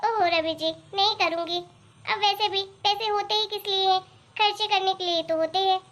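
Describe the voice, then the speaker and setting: A woman playing a very high-pitched nagging young wife. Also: steady tone, moderate pace, a bit bright. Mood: pleased coaxing. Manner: pleading, nagging, chatty. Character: demanding, spendthrift young wife, whiny and boastful. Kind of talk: Hindi cartoon moral story dialogue.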